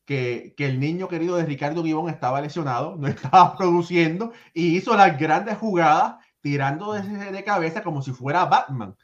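Co-host for sports talk: man, slightly high pitch, conversational, dull low-fidelity audio. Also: moderate pace, few pauses. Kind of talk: casual baseball discussion. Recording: dull, lo-fi sound.

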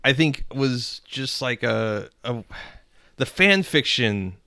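A man's voice: medium pitch, expressive, slow pace, bright, forceful tone. A man co-hosting a podcast, chatting casually in rambling, joking riffs. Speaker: podcast co-host.

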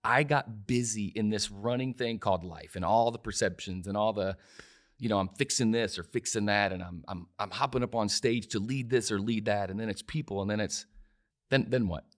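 This is a man of forty with a fairly low voice. He talks in an emphatic, conversational way and repeats himself for weight. He often stumbles into restarts before landing his point. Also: normal speed. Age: 40s. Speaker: podcast guest. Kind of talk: casual conversational interview.

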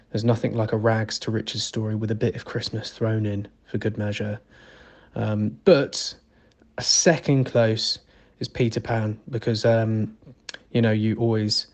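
A man's voice: fairly low pitch, darkish tone. A British man, a guest contributor, chatting casually and reflectively about his own experiences.

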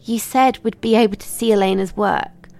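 A woman acting in an audio drama as a gentle, earnest young visitor who keeps the peace. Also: mid-range voice, steady tone, normal speed, creaky, soft background music, close to an everyday voice. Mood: gentle, curious.

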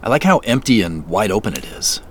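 Over noticeable outdoor ambience comes a wry, wistful man in an audio drama. His voice sits fairly low and moves in lively swings. He talks naturally and easily, keeping deeper feelings guarded. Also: fairly quick, slight background noise. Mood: easygoing. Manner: easygoing, self-joking, guarded about feelings. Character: wistful, wry man on a road quest, grieving parents.

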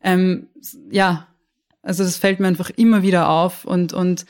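A woman's voice: on the low side, level tone, measured pace, darkish tone. A young woman from Austria, a lawyer talking candidly as an interview guest, often restarting and repeating words. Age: young adult. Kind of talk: casual interview conversation.

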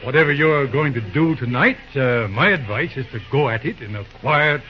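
A man's voice: mid-range voice, normal speed, lightly acted. An actor playing a folksy small-town judge in an old-time radio drama, hesitating and repeating words as he drily reasons with an angry mob. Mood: coaxing, instructing. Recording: muffled old radio recording, crowd behind.

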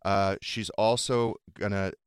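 A man's voice: fairly low pitch, fairly even intonation, takes it slowly, smooth, no room sound. A man hosting a talk show with casual studio banter, telling gossipy stories in a sardonic manner. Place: dry radio studio.

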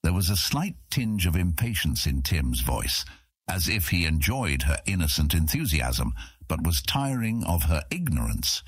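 A man's voice: low-pitched, sing-song, unhurried, breathy edge, no echo, clean studio sound. A man narrating a fantasy audiobook in a dramatic, scripted storytelling style.

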